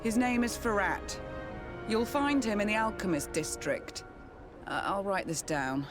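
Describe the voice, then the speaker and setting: A woman's voice: medium pitch, measured pace, lightly acted. An actress playing a curt, sardonic businesswoman in a video game, with a brusque manner and dry sarcasm. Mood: sceptical, resigned. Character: curt, sardonic businesswoman, weary of her partner. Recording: game music under the voice. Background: music.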